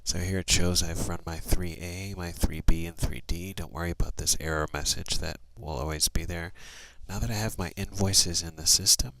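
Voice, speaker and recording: A man's voice: deep voice, fairly even intonation, moderate pace, vocal fry, hardly any pauses, noisy background. A man narrating a step-by-step screencast tutorial in a plain, procedural way, thinking aloud as he works. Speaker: software trainer.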